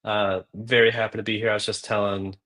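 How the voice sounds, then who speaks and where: A man speaking as a guest on a live interview, relaxed and easygoing. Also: lowish voice, brisk. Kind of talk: casual interview chat.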